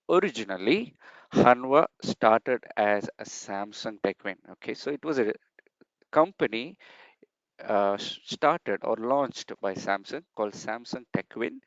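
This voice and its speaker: A man's voice: mid-range voice, a little slow, smooth, no room sound. Man teaching over a dull, dry call. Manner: explanatory, uses many fillers and checks like okay. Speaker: sales trainer. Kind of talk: online training webinar. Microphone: video call.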